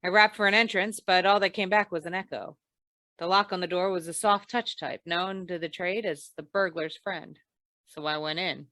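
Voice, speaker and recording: A woman's voice: fairly low pitch, steady tone, normal speed, sharp tone, no room sound. A woman playing a hard-boiled, wisecracking insurance investigator in an old-time radio noir, with a dry, clipped delivery. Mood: wry narration.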